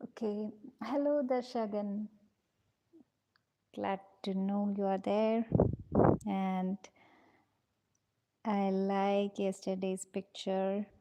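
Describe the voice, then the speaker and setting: A woman leads a live drawing class at an unhurried, careful pace. Her mellow voice carries a gravelly edge, and she stays gentle and patient, calling her students dears. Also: fairly even intonation, muffled recording, slight background noise. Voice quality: low-pitched voice, in her own words. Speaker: drawing teacher.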